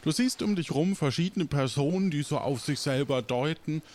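A man acting as game master, narrating an improvised story informally and haltingly as he invents it. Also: on the high side, darkish tone. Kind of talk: improvised storytelling in second person.

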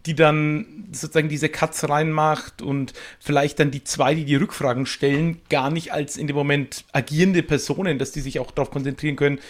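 A man's voice: fairly high pitch, little pitch movement, fairly quick, hardly any pauses. A man chatting casually on a panel, explaining things colloquially and often repeating words as he goes. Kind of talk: casual chat.

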